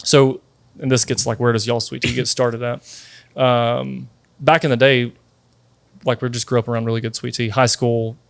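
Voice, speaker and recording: Guest, man, 30, mid-pitched, talkative storyteller, dry close mic. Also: flat delivery, normal speed, gravelly, clear, resonant tone, no room sound. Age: 30s. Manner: chatty, many fillers like "like" and "dude". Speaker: guest, sweet tea company founder. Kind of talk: casual interview storytelling.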